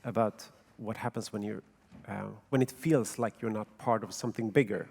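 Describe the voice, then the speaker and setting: A man with a gravelly edge to his voice feels his way through a panel answer, stopping and restarting as he thinks. His voice rings out with the long reverb of a big festival hall. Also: on the low side, steady tone, a little mellow. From Sweden. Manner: thoughtful, halting, many ums and restarts. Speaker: film co-writer and actor. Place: festival stage in a large hall.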